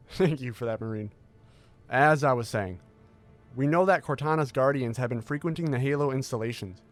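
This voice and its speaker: A man playing a tall, secretive military scientist who speaks in a formal, measured briefing manner in an audio drama. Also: medium pitch, faint music behind, lightly acted.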